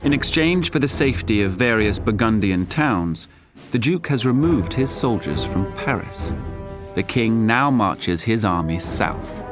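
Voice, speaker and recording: A man's voice: on the low side, lively intonation, a little slow, music in the background. A man narrating as a solemn chronicler of war in a historical audio drama, in a scripted dramatic style.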